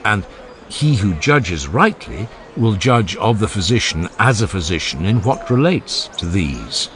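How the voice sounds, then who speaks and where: A man narrating an audiobook of a classical philosophical dialogue in a measured, formal and emphatic way. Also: on the low side, sing-song, fairly bright tone. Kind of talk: dramatized scripted reading of a classical philosophical dialogue.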